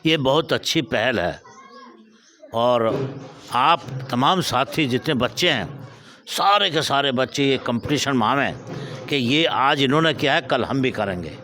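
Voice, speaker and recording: A man's voice: medium pitch, lively intonation, racing, bright, forceful tone. A man giving a formal, exhorting motivational speech to school pupils. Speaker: speaker addressing school pupils.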